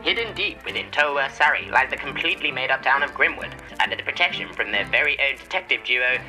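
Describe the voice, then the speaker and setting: A man's voice: mid-range voice, animated, quick pace, natural voice, rough recording. A man voicing a dramatic, portentous narrator for a radio play trailer. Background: loud music.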